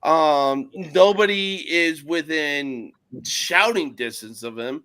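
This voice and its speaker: A man from North holds forth on a sports podcast, blunt and profane, laughing easily. His voice sits high and bright and swings widely in pitch. He talks slowly and takes his time. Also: animated, clean tone. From North Jersey, USA. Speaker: podcast guest. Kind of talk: casual sports podcast chat.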